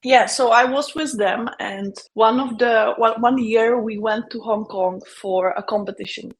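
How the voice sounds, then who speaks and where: A woman's voice: fairly high pitch, steady tone, measured pace, rough recording. A woman from the Czech Republic with a Czech accent, a guest chatting casually in an interview, talking with lots of fillers and frequent laughs. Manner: chatty, laughs often, many fillers and restarts.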